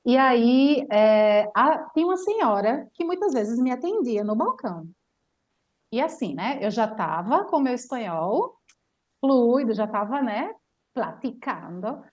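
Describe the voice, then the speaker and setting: A woman with a Brazilian Portuguese accent, a language teacher telling a lively travel story in casual chat, full of fillers. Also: a little high, measured pace, muffled recording. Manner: animated storyteller, chatty with many fillers.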